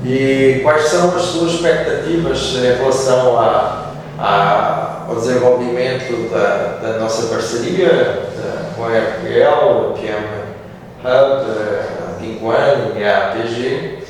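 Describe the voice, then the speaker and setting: A man's voice: medium pitch, fairly even intonation, measured pace. Brief: male interviewer, European Portuguese accent, hesitant phrasing, distant roomy sound with faint noise. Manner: hesitant, many fillers and restarts. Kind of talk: interview questions. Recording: echoey room from far off.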